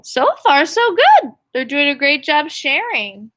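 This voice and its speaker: High-pitched, bright woman teacher reading aloud. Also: measured pace. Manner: expressive, addressing young children with questions and asides. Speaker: elementary school teacher. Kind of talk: children's story read-aloud with teaching asides.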